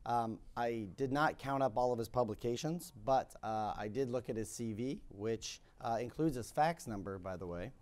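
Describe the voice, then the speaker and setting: A man's voice: mid-range voice, moderate pace, few pauses, darkish tone. A man in his thirties with a slightly scratchy voice, interviewing a guest on a conference stage in a chatty, hesitant way full of ums. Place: conference stage with curtain backdrop. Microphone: lapel mic.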